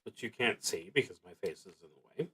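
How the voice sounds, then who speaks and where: A man thinking aloud in a halting, deliberating way as he streams a solo tabletop game. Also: on the low side, lively intonation, a bit bright, slightly rough.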